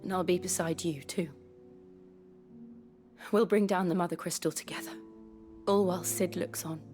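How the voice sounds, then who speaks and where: An actress playing a solemn, soft-spoken young woman, wounded but resolute, in a dramatic video game. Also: lowish voice, steady tone, moderate pace, lightly acted. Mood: pained resolve. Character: wounded, steely young woman set on vengeance. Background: prominent music.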